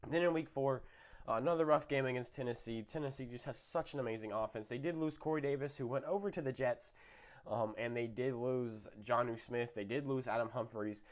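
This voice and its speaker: A man's voice: medium pitch, brisk. A young man, a little sick, giving casual football predictions with lots of fillers and self-corrections. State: slightly sick, voice a bit off. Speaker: sports video host.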